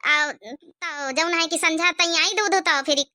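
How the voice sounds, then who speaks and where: A woman's voice: very high-pitched, fairly even intonation, takes it slowly, bright, forceful tone, no echo, clean studio sound, full character voice. Meek, plaintive old village grandmother voiced by a woman. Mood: resigned. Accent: Awadhi. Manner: plaintive, hesitant with filler phrases. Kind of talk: Awadhi cartoon comedy voice acting.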